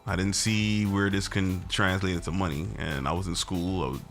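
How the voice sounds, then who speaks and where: Gravelly-voiced New comedian. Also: male voice, low-pitched, normal speed, soft background music. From Brooklyn, New York. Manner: animated storyteller who acts out quotes. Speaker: comedian guest. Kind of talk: casual interview chat.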